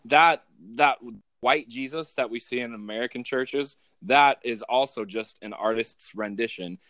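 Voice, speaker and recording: A man's voice: medium pitch, a little slow, fairly bright tone, no echo. A man hosting a podcast in casual chat, talking with many fillers and restarts. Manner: rambling, hesitant with many fillers. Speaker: podcast host and pastor.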